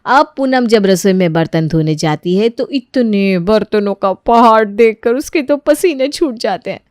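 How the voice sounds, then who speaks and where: A woman's voice: mid-range voice, lively intonation, a little slow, darkish tone, no echo, clean studio sound. A woman telling a scripted household moral story as its narrator.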